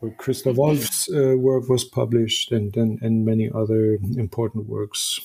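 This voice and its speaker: A German man in his fifties talks through his career as an interview guest. His voice is mellow and a little husky, and he thinks aloud, restarting and doubling words. English is not his first language. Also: on the low side, little pitch movement, measured pace, low-quality recording. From eastern Germany. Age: 50s. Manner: thoughtful, frequent restarts and repeated words. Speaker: guest, publisher. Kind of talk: conversational interview.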